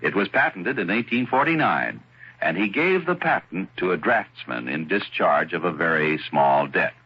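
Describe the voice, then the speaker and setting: A man narrating in a measured, scripted radio style. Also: sits low, sing-song, breathy edge. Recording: dull old radio broadcast.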